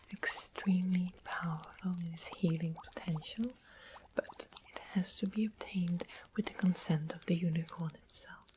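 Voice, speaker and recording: Female performer as sweet fantasy witch for ASMR: hushed, unhurried, explanatory whisper, noticeable background noise. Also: on the low side, lightly acted. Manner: slow, gentle, explanatory. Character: sweet-seeming witch of the west, potion maker. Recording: close whisper with clear background hiss.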